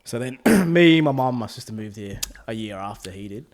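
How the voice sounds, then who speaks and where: A young adult Australian man talking as a guest on a podcast, easygoing and relaxed, often ending lines with "man". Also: mid-range voice, normal speed. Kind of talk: casual podcast chat.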